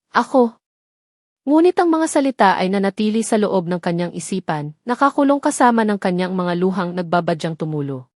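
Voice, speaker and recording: A woman's voice: medium pitch, a little mellow, no room sound, studio quality. A woman narrating a scripted romantic drama story in a solemn, dramatic manner.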